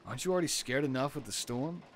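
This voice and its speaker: A man playing a caring, gently teasing young boyfriend in an intimate roleplay audio drama, reassuring his partner with sighs and soft talk. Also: medium pitch, quick pace, a little hiss or hum, close to an everyday voice. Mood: teasing, reminiscing.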